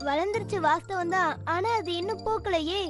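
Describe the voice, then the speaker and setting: A woman's voice: extremely high pitch, a little fast, over music. A woman voicing a small, skeptical, grumbling magical companion in a children's cartoon, nagging and teasing. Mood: reluctant, patient. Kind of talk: Tamil-dubbed children's cartoon acting.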